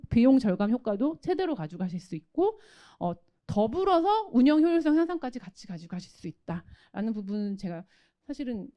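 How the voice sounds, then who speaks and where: A woman's voice: on the high side, muffled recording. A woman giving a conversational, explanatory tech product presentation and demo. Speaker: tech product presenter from a company's automation division.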